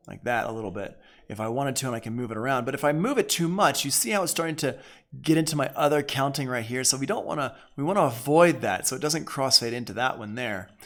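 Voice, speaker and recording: An American man giving an informal software tutorial, thinking aloud as an instructor and punctuating steps with short exclamations. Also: mid-range voice. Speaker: audio production instructor.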